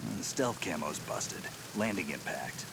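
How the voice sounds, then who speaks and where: An actor playing a gruff middle-aged soldier on a video game radio call, speaking in a terse, dry, understated way. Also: male voice, sits low, lively intonation, unhurried, heavy background noise, full character voice.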